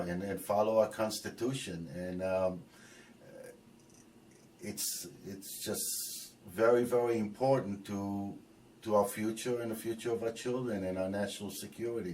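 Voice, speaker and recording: A man from New Jersey answering interview questions, halting with many fillers and restarts. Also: on the low side, darkish tone, far from the mic, a little hiss or hum. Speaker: interviewee, biker group co-founder.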